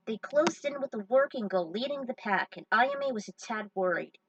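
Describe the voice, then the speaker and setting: A woman's voice: fairly high pitch, lively intonation, moderate pace, fairly bright tone, no room sound, far from the mic, lo-fi sound. A woman reading a story aloud, breaking off for chatty, joking asides. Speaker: fan fiction reader. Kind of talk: story narration with casual asides.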